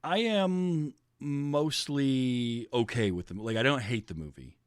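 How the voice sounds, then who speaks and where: A man's voice: medium pitch, lively intonation, a little slow, clear, resonant tone, dry recording, clean studio sound. A man talking casually about films as a podcast host. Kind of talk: casual movie discussion.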